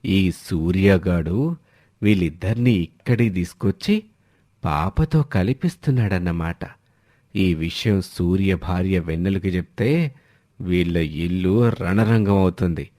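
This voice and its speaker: A man's voice: low-pitched, expressive, unhurried, mellow, pitched below the performer's own voice. A man voicing a scheming, meddling troublemaker with an insinuating, falsely familiar manner in a family story.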